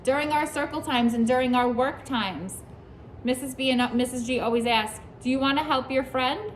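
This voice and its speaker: High-voiced teacher quizzing her class. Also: normal speed, a little hiss or hum, low-quality recording. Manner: asks the children questions and praises their answers. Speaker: preschool teacher. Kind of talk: reading a picture book aloud to a class. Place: classroom with young children.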